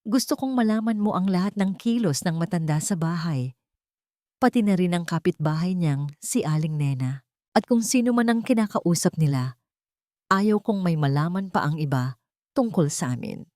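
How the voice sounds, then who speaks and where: A woman's voice: lowish voice, expressive, moderate pace, darkish tone, no room sound, clean studio sound, close to an everyday voice. A woman voicing an anxious, ambitious, scheming wife in an audio drama, speaking urgently and insistently. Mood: commanding.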